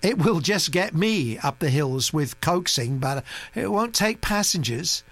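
A reader voicing a calm, detached young woman who speaks in a matter-of-fact, offhand way, for an audiobook. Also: medium pitch, unhurried, no echo, pitched above the performer's own voice. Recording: dry recording with mains hum.

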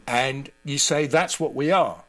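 A man's voice: medium pitch, unhurried, a bit bright. A man speaking as an interview guest, giving conversational analysis and commentary.

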